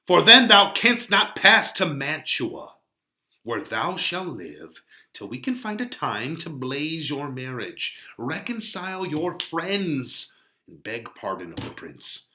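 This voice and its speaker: A man's voice: fairly high pitch, sing-song, slow, bright, forceful tone, heavily acted. A man voicing an exasperated older friar who scolds and counsels in declamatory Shakespearean verse. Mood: calmer, instructing. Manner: emphatic, declamatory, archaic verse. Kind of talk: dramatic reading of a Shakespeare play.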